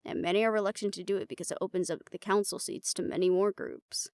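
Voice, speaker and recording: A woman's voice: mid-range voice, fairly even intonation, fast, darkish tone, dry recording, lightly acted. A woman playing an uptight, weary researcher in a fantasy audio drama, with a dry, exasperated way of talking. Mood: matter-of-fact. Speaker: voice actor in an audio roleplay. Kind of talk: fantasy audio drama roleplay, conversational acting.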